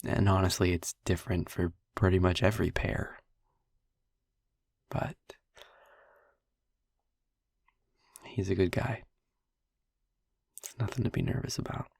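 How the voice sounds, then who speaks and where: A man playing a gentle, wistful vampire boyfriend in an intimate audio roleplay, speaking tenderly and hesitantly in a husky, breathy voice. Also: steady tone, dry recording, studio quality, heavily acted. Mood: gentle, reassuring. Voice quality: husky, breathy, gravelly low voice. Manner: tender, hesitant, slow with pauses. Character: gentle, wistful male vampire boyfriend, youthful, haunted by past. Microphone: close, dry microphone.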